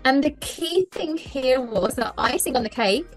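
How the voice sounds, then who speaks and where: Woman coach, higher register, earnest and emphatic, repeats for stress, light music bed. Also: expressive, unhurried. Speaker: mindset coach and hypnotherapist. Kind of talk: conversational podcast talk.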